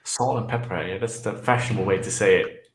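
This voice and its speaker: A man with a lowish voice hosts a casual chat over a video call. He jokes easily and laughs a lot. His voice rings a little in an echoey room. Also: fast. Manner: laughs often, relaxed and joking. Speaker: podcast host.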